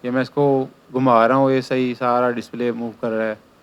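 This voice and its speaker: A man's voice: mid-range voice, flat delivery, normal speed, muffled recording, a little hiss or hum. A man giving an informal hands-on tutorial as an installer, explaining step by step and repeating his instructions.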